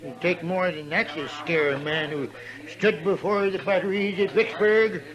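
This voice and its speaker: A man's voice: sits high, normal speed, close to an everyday voice, rough recording. An actor playing a pompous old American war veteran in a 1950s radio western, blustering and stammering as he boasts. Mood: indignant boasting. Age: older. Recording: old radio recording, saloon crowd behind.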